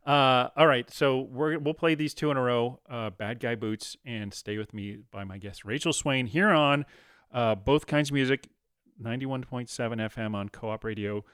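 A man hosting a radio program in a studio, talking with a guest in an easygoing, chatty way. His voice sits at a middle pitch and sounds dry and close to the microphone. He wanders a little as he speaks and leans on fillers. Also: normal speed, fairly bright tone, smooth, no room sound. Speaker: radio host. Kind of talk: casual interview chat.

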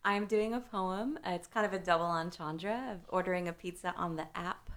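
A woman's voice: on the low side, a little fast, a bit bright, echoey room. A woman poet as a guest, giving brief, sassy answers. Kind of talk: casual interview.

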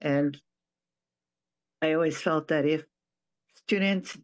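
An older man from Hawaii, a retired professor, giving a reflective personal account with frequent pauses and restarted phrases. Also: on the high side. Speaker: retired professor and nonprofit board president.

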